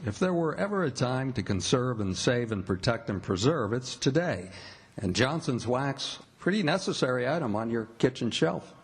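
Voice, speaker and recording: An actor playing a chummy announcer and pitchman in a 1940s radio comedy. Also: big pitch swings, moderate pace, breathy edge. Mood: earnest pitch. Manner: chummy, salesmanlike.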